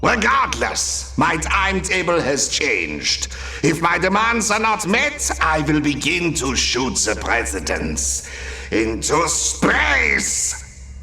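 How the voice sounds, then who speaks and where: An actor playing a bombastic, petty, silly supervillain in a comic video game, with a grandiose, hammy delivery. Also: male voice, a little high, sing-song, slow, creaky, bright, forceful tone, full character voice. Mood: petulant menace. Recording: echoey, with music behind. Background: game music.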